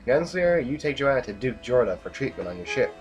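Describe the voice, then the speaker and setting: An actor playing a stern, disillusioned military general in an audio drama, with a blunt, brooding, commanding manner. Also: male voice, mid-range voice, very animated, quick pace, close to an everyday voice. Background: music.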